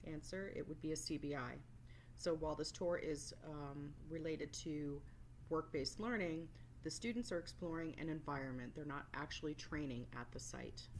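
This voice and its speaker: Low-voiced woman presenting staff training. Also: moderate pace, slight background noise. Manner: instructional, explanatory. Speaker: work-based learning resource teacher. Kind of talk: scripted training presentation voice-over.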